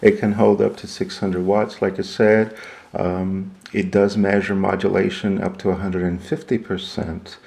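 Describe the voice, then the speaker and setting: Very gravelly, low-voiced man demonstrating. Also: little pitch movement, a little mellow. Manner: halting, explanatory. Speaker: product demonstrator. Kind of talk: unscripted hands-on equipment walkthrough.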